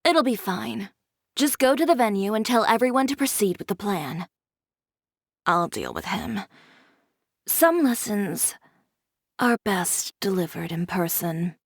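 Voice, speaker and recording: An actress playing a stern, self-assured young fashion designer in a video game, composed and blunt. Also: female voice, medium pitch, animated, a little slow, long pauses, no echo, clean studio sound. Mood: stern resolve.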